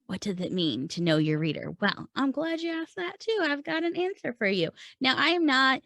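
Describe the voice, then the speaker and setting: A woman's voice: high voice, big pitch swings, moderate pace, a bit bright, dry recording. A woman podcast host giving a conversational, informal lesson, explaining things step by step and posing questions to her listeners. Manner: informal, explanatory, asks and answers own questions. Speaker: podcast host and writing coach. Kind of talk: conversational instructional monologue.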